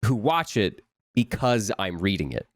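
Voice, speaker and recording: Young male guest, lowish voice, lively, dry recording. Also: measured pace, dry recording. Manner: animated, many restarts, rising for emphasis. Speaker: voice actor guest. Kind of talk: casual interview chat.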